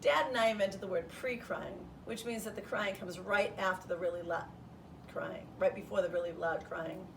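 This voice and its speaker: A woman's voice: lowish voice, moderate pace, creaky. Distant-miked woman reading a children's storybook. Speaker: third grade teacher reading aloud. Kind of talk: children's storybook read-aloud in the first person. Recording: distant mic, roomy background noise.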